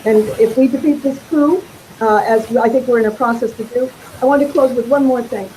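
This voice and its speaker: A woman's voice: fairly high pitch, brisk, mellow, a little hiss or hum, rough recording. A woman giving an earnest, emphatic speech at a political meeting. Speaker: political speaker.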